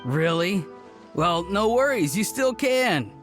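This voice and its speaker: A man playing a gentle, bashful young man in a video game cutscene, low-key and candid. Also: very animated, slow pace, soft background music, exaggerated voice. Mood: mild.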